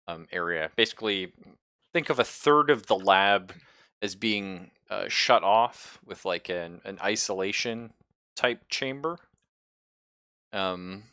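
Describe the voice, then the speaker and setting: A man running a tabletop roleplaying game, improvising his descriptions haltingly as he thinks aloud. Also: fairly low pitch, bright, forceful tone, dry recording, studio quality. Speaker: game master.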